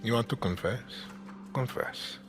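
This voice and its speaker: An actor playing a controlled, brooding middle-aged dictator and father in a video game, with a Latin American Spanish accent and a measured delivery that drops into menacing near-whispers. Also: mid-range voice, over music, close to an everyday voice. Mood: quiet, brooding. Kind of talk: cinematic video game acting.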